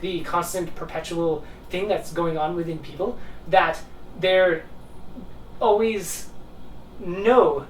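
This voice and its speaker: A man talking casually and off the cuff about ideas, rambling and repeating words for emphasis. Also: high voice. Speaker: host of a discussion video. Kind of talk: casual unscripted philosophical talk. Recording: roomy, distant mic with faint noise.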